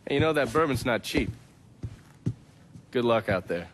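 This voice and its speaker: A man's voice: mid-range voice, expressive, normal speed, natural voice. A man playing a wry American intelligence operative in a video game, casual, dry and foul-mouthed. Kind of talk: cinematic video game acting.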